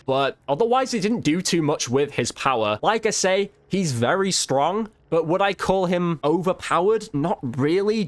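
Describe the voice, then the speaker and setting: A man's voice: on the high side, fairly bright tone, dry recording. A man giving casual, informal gameplay commentary as a video host, with slang and mild swearing.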